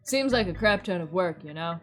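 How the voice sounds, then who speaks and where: A woman's voice: lowish voice, lively intonation, a little fast, fairly bright tone, soft background music, voice pushed down. A woman voicing a sullen, laid-back young slacker guy who mumbles in slang, in a visual novel. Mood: sullen, casual. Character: gruff, slouchy young man, sullen slacker.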